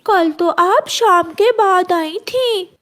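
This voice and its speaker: A plucky little cartoon bird child, voiced by a woman, with an extremely high pitch. The delivery is eager and childlike, yet slow. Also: fairly bright tone, exaggerated voice. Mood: curious, sweet. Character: small, earnest, plucky young bird child.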